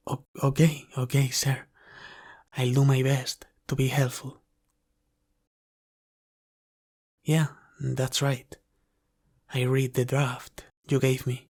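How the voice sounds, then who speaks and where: A man playing a polite, caring dragon butler in an ASMR roleplay, speaking formally, gently and deferentially. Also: medium pitch. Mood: hesitant, earnest. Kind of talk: ASMR roleplay, soft scripted character acting.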